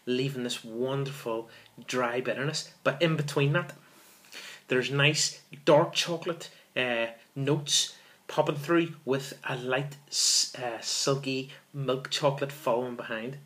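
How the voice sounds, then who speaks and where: A man's voice: medium pitch, fairly even intonation, unhurried, a bit bright. A man in his thirties hosting a casual beer review vlog, enthusiastic and repetitive, often calling viewers folks, filmed in a small room on a camera in front of him. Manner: enthusiastic, repeats words, calls viewers folks. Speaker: beer reviewer, channel host.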